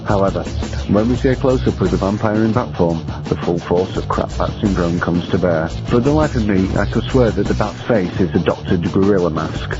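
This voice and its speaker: Guy, low voice, dry wry movie review narration, guitar music behind, bit lo-fi. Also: fairly quick. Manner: dry, wry, formal phrasing. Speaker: film reviewer. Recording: muffled lo-fi recording.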